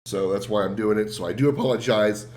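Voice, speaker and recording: A man streaming a game while sick, sounding rough and congested, rambling self-deprecatingly and answering his chat. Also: lowish voice, fast talker, darkish tone. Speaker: game livestreamer. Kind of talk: casual livestream chat.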